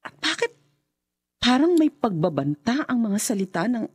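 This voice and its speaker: An actress playing an anxious older sister and suspicious wife in a radio horror drama, emotional and insistent. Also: female voice, a little high, big pitch swings, normal speed, very noisy. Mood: worried suspicion.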